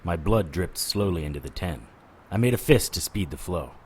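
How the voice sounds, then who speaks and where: A man's voice: deep voice, expressive, moderate pace, darkish tone, slightly rough. An actor playing a wry, world-weary occult detective who narrates his own story in a noir-style audio drama. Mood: grim narration. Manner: wisecracking, sardonic. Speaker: lead actor and first-person narrator.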